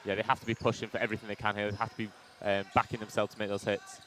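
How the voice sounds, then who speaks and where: A man with a loud voice giving color commentary at a live match, chatty and full of ums. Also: lowish voice, flat delivery, racing. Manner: chatty analysis with frequent ums and uhs, reads chat aloud. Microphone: headset.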